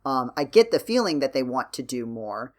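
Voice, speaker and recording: A man with a middling-pitched voice hosts a casual podcast chat. He talks in a rambling, hesitant manner, circling back as he works through each point. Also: sing-song, moderate pace. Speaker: podcast host.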